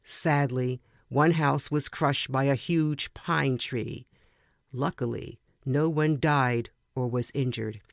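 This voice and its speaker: A woman reading a first-person story aloud in a measured, dramatic way with deliberate pauses. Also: very deep voice, steady tone, creaky, dry recording, studio quality. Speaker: podcast reader.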